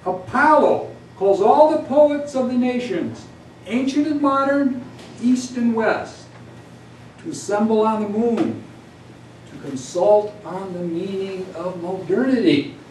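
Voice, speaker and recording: Male poet, declamatory recitation, unhurried delivery, solemn, echoey far-off recording. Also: high-pitched, animated, a little mellow. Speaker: poet reading own work. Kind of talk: dramatic epic poetry recitation. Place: indoor venue at a live reading. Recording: echoey room from far off, background noise.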